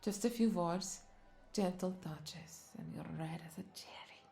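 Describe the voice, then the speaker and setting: Flirty, creaky-voiced vampire bartender played by a woman. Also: lowish voice, a little slow, warm tone. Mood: seductive, teasing. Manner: flirtatious, teasing, breathy asides dropping into whispers. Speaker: audio roleplay voice performer. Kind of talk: intimate scripted audio roleplay.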